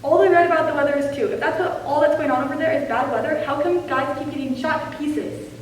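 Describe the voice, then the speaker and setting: A woman's voice: high-pitched, fairly even intonation, quick pace, close to an everyday voice. A woman playing a bitter, grieving, outspoken young woman in a readers theater drama. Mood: bitter, angry. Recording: echoey room from far off, faint noise.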